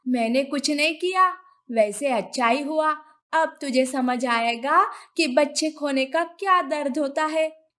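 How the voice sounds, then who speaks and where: Cartoon mother hen, female performer: very high-pitched, slow, scolding and plaintive, easily worked up. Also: a bit bright. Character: indignant, anxious mother hen. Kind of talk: animated children's moral story.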